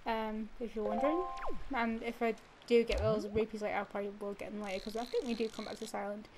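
A woman's voice: medium pitch, little pitch movement, quick pace, music in the background. Stuffy-nosed young woman rambling over gameplay. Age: young adult. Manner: rambling, many fillers and restarts. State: stuffy nose from a cold. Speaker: let's play gamer. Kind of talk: casual gameplay commentary. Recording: audible background noise.

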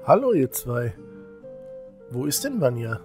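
A man's voice: medium pitch, big pitch swings, a little slow, darkish tone, faint music behind. A man playing a modest, loyal teenage novice priest in an audio drama, earnest and a bit hesitant.

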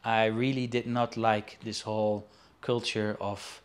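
A man from the Netherlands in his forties, chatting in an interview. He speaks haltingly, full of fillers and restarts, over a video call from a shelf-lined room. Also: lowish voice, flat delivery, clean tone. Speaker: guest artist and angler. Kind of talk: casual interview. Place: indoor studio room with shelves.

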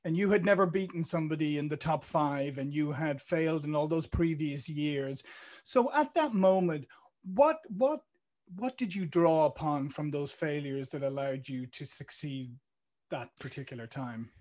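A man's voice: fairly high pitch, lively intonation, normal speed, a little mellow. Irish man hosting a video-call interview. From Ireland. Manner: chatty, with many fillers and restarts. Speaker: interview host. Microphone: studio mic on a video call.

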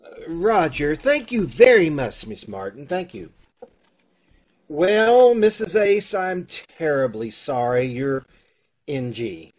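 An actor playing a fussy, flustered loan officer in an old-time radio comedy, with hesitant, businesslike patter over a video call. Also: male voice, fairly high pitch, big pitch swings, takes it slowly. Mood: flustered, apologetic. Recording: live video-call recording.

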